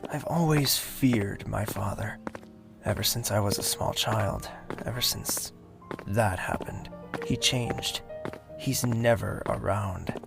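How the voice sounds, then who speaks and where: Man playing a slow-spoken young fantasy nobleman over music. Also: fairly low pitch, vocal fry. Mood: guarded, troubled. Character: young nobleman, exasperated, secretly smitten, fearful of his father. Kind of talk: fantasy audio drama acting.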